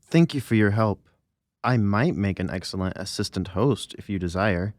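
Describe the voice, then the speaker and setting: A man's voice: fairly low pitch, moderate pace, a little mellow, dry recording, natural voice. Man playing a theatrical deranged teenage boy, dry sound. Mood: grateful, polite. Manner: grandiose announcer-like, alliterative showmanship. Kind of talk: audiobook character dialogue.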